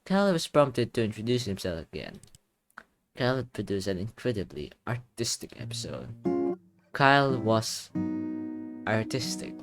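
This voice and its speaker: A man's voice: lowish voice, normal speed, long pauses, faint music behind. A man doing playful game commentary, reading story text aloud and reacting with exclamations, his voice a little tired and strained. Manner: animated, exclaiming reactions between read passages. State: voice tiring and strained. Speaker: gaming video creator.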